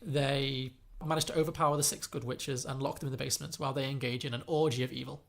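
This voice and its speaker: A man's voice: medium pitch, steady tone, quick pace, gravelly. A man co-hosting a podcast, chatting in a playful, rambling way and often laughing. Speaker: podcast co-host. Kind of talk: comedic casual chat.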